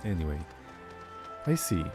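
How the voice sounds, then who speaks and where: A man's voice: deep voice, sing-song, a little slow. A man reading game dialogue aloud as a streamer, sometimes stumbling over words and repeating them, with game music behind him.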